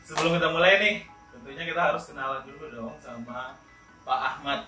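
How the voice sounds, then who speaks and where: A man's voice: mid-range voice, brisk. A man hosting a casual podcast interview, chatty and often checking for agreement with short tag questions. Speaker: host of an interview channel. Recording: roomy echo, distant mic, faint music.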